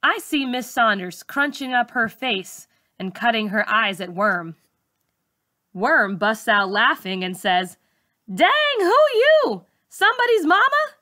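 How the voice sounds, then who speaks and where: Bright-voiced woman reading a story aloud, unhurried. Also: medium pitch, no echo, clean studio sound. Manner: colloquial, storytelling. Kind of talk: expressive first-person audiobook narration for schoolchildren.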